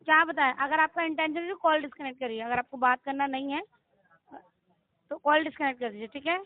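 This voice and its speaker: An Indian woman works through a debt collection call in a high, fast-moving voice. Her questioning is insistent and confrontational, like an agent who wants a straight answer. The sound is a narrow, tinny phone recording. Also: no echo. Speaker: loan recovery call centre agent. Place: on a phone line.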